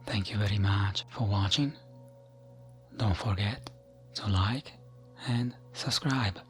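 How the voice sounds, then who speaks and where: Slow, whispery man narrating ASMR over music. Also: on the low side. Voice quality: soft, breathy, near-whispered. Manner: gentle, soothing, measured delivery. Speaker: ASMR gaming narrator and channel host. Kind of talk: ASMR bedtime lore narration, scripted.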